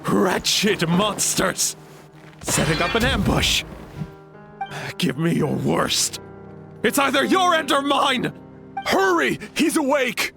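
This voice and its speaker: Young warrior son in a game, strained and gravelly, drawn-out words, faint music. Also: male voice, high-pitched, animated, heavily acted. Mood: frantic, hostile. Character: young male warrior, fierce, confused. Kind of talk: video game voice acting.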